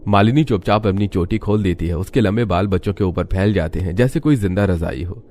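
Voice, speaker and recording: A man's voice: sits low, fairly quick, warm tone, faint music behind. A man reading scripted narration as the narrator of a cartoon story.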